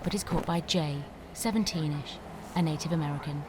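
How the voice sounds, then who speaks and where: A woman's voice: low-pitched, a little fast, background noise. A woman reading scripted screenplay stage directions as the narrator of an audio drama.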